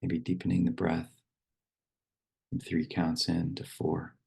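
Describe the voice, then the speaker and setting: Very deep, gravelly male meditation guide. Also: completely flat delivery, warm tone. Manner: slow, unhurried, pausing between short phrases. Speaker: meditation teacher. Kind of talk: guided meditation, poem reading.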